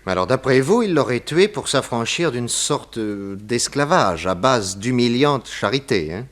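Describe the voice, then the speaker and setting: A police detective in an old French radio mystery. He is brisk and businesslike, firing off questions with little hesitant interjections. His manner stays patient and faintly wry. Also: medium pitch, big pitch swings, a bit bright. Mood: probing. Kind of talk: 1960s French radio crime drama acting.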